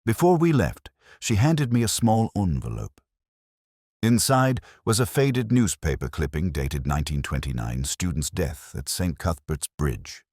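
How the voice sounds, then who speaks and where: A man reading scripted first-person mystery narration in a measured, literary, melancholy manner. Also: low voice, very animated, a little mellow, no room sound, studio quality.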